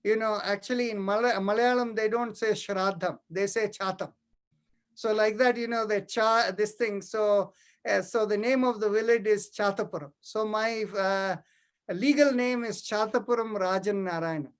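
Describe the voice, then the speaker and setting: A man's voice: sits high, fairly even intonation, normal speed, smooth. A man, hosting a yoga class over a video call and talking casually, with many fillers and restarts. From India. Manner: hesitant, full of fillers and restarts. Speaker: yoga teacher and host.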